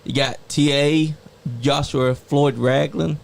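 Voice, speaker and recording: A man's voice: fairly high pitch, fairly even intonation, slow pace, creaky. A man co-hosting a podcast in casual chat, hyping people up and teasing the others. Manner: hype, teasing banter. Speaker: podcast co-host.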